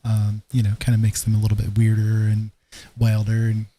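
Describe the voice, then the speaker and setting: A man hosting a livestream, chatting casually in a halting, low-key, easygoing way. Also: monotone, dark tone, a little hiss or hum. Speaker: livestream host. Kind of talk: casual rambling livestream chat.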